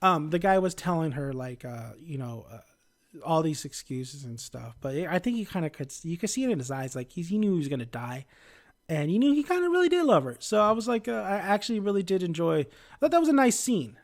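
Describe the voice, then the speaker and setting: Young man, casual spoiler review, rambling with fillers, slightly high voice, chatty. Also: very animated, brisk. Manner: rambling, filler-heavy, loses his train of thought. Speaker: TV episode reviewer.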